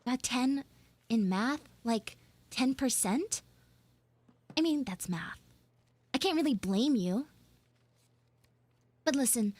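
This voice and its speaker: A woman's voice: high voice, dry recording, exaggerated voice. A woman playing a prickly, defensive schoolgirl in a live audio roleplay, stammering and dramatic as she grumbles and pleads.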